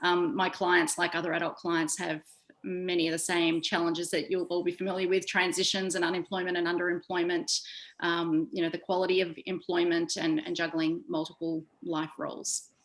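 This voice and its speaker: A woman speaking unscripted on a discussion panel, often pausing to find her words. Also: lowish voice, flat delivery. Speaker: panelist, career development practitioner.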